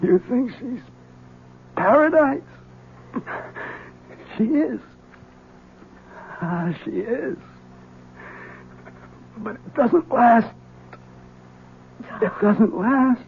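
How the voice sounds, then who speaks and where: A desperate, embittered husband in an old radio melodrama. His delivery is very slow and overwrought, with lively swings of pitch. The sound is thin and tinny with a faint hiss. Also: heavily acted. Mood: bitter, dying. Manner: halting, drawn-out, overwrought delivery. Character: desperate, bitter husband out to kill his wife. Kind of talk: 1970s radio drama acting.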